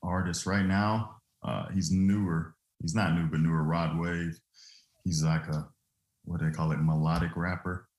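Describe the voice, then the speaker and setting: Low voiced guy, chill and chatty, laughs a lot, kinda echoey room. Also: flat delivery, moderate pace, darkish tone. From Louisiana, US. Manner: easygoing, laughs often, loose filler-heavy telling. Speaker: guest, former football player turned trucking business owner. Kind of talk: relaxed interview storytelling.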